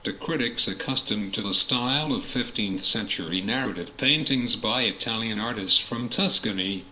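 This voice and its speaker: Bright, forceful low-pitched man reading aloud. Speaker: test example reader. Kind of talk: reading academic passages aloud. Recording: roomy echo with noisy background.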